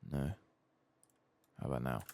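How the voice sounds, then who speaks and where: Man, deep gravelly murmur, slow pace, ASMR gaming commentary, drifting into whispers. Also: flat delivery. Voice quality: soft-spoken, often dropping to a whisper. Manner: unhurried, murmured musing to himself. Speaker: gaming streamer, ASMR channel host. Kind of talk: soft-spoken ASMR game commentary.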